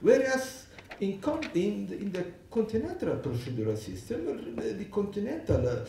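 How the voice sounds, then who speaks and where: A man's voice: high voice, big pitch swings, moderate pace, vocal fry, dark tone, slight background noise. A man with an Italian accent speaking English as a second language, giving a conference panel talk with many restarts and fillers. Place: lecture hall. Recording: echoey hall, distant mic.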